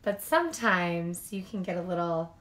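A woman reading picture books aloud to young children as a storytime host, with animated delivery and chatty asides. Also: fairly low pitch, moderate pace.

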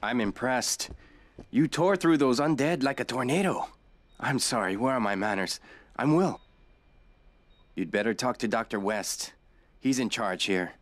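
An actor playing a friendly male guard in a video game, brisk and polite as he directs newcomers. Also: mid-range voice, no room sound, natural voice. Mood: impressed, brisk.

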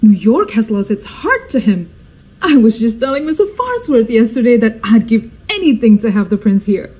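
An actress playing a fussy, imperious, status-hungry society mother in a radio drama, theatrical and exclamatory, with a husky voice. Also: fairly high pitch, sing-song, moderate pace, mellow, poor audio. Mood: swooning.

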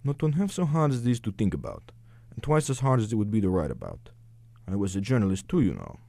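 Guy doing an old polite exiled intellectual, radio drama, formal n hesitant, soft mellow tone, pitch all over the place. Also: big pitch swings, fast, gravelly, dry recording, heavily acted. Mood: rueful, polite. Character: courteous, nervous, exiled Romanian male intellectual, older.

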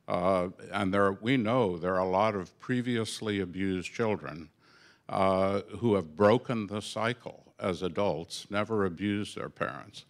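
An older man speaks from a panel stage in a conference room, his voice sitting very low. He talks earnestly, pausing and restarting with "uh" as he works through his points, holding a handheld microphone. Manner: earnest, halting with fillers and restarts. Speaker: foundation founder on a panel. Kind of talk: panel discussion remarks.

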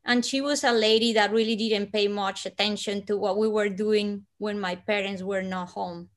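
A woman in her forties from Colombia with a Colombian Spanish accent, telling a personal story as an interview guest over a video call, slow and hushed with soft breathy dips and sudden lifts. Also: mid-range voice, fairly even intonation. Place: living room at home.

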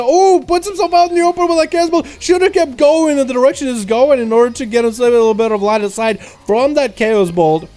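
A man with a slight Russian accent giving excited, fast play-by-play commentary on a video game match, with loud outbursts at big plays. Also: high voice. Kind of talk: casual esports commentary.

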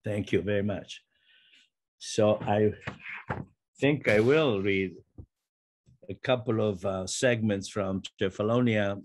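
An elderly man, introducing and reading his poetry in a halting, deliberate way. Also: lowish voice, a little hiss or hum. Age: 70s or older. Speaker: poet and curator. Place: book-lined study, indoors. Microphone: computer mic on video call.

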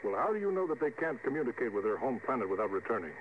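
An actor playing a commanding starship captain, deliberate and stern, in 1950s radio drama style. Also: male voice, mid-range voice, big pitch swings, close to an everyday voice. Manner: authoritative, deliberate. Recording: phone-like vintage radio recording, faint hiss.

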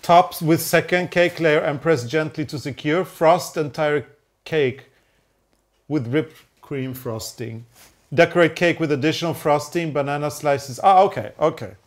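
Teasing man, slightly high voice. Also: measured pace. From Norway. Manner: teasing, reads instructions aloud, laughs. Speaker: co-host. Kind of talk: casual baking chat. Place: home kitchen, indoors. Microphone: unseen mic, medium-distance camera.

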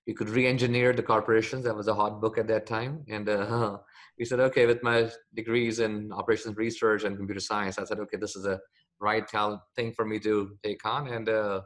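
A man's voice: fairly low pitch, flat delivery, a little fast. A middle-aged man from India with an Indian accent, a company founder answering interview questions over a video call, hesitant with many fillers and restarts. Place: home office with bookshelves, window behind.

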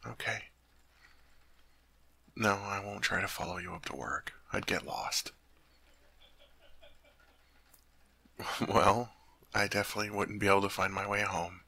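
A man's voice: low voice, steady tone, normal speed, gravelly, pauses often, sharp tone, full character voice. A man playing a sweet, naive teddy bear turned human in an ASMR roleplay, chatting earnestly to the listener as he puzzles over human things. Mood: earnest, sheepish.

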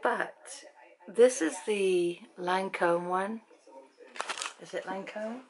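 A woman in her fifties from Britain with an English accent, chatting to a handheld camera in a dim room at night. Her voice is croaky, and she rambles and laughs often. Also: mid-range voice, extremely slow. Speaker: vlogger. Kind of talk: casual chat to camera. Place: bedroom at night, dim lamp light. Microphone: handheld camera at arm's length.

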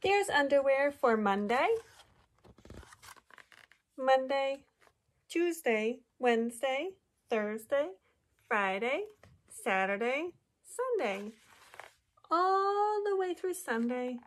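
A woman in her thirties, a teacher reading a picture book aloud to children with animated, exaggerated delivery and drawn-out words. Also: high voice. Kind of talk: expressive children's storybook read-aloud. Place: small indoor room with classroom displays.

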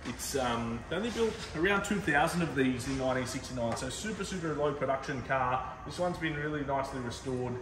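A man hosting a casual car showroom walkthrough, talking enthusiastically with relaxed fillers. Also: medium pitch, fairly quick, few pauses. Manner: laid-back, enthusiastic car talk with fillers. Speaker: car dealer host. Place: large indoor car showroom. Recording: roomy reverb, mic far off, background noise.

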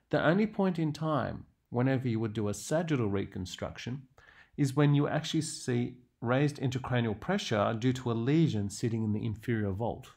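A man's voice: mid-range voice, creaky, darkish tone. A man lecturing on radiology in a didactic way, stressing key terms as he narrates prepared slides.

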